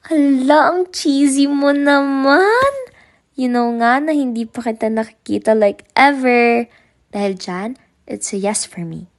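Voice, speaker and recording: A woman's voice: sits high, measured pace. A young woman with a Filipino accent playing a playful, affectionate sweetheart in an amateur radio drama, chatty and teasing. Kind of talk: amateur radio drama phone dialogue, Taglish.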